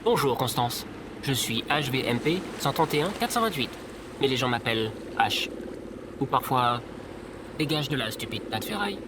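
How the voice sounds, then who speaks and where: A woman's voice: rumbling low, normal speed, very noisy. A woman playing a stubborn, literal-minded droid in an audio drama. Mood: matter-of-fact. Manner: flat-worded, obstinate, literal. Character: glum, stubborn old droid fixed on its programme.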